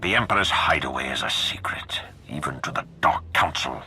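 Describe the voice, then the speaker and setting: An actor playing a stern, menacing Sith lord in a sci-fi game, speaking formally and ominously in measured phrases. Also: male voice, expressive, sharp tone, in a whisper, full character voice. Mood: grave, ominous. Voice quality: very deep, gravelly. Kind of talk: dramatic sci-fi game dialogue. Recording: music behind the voice.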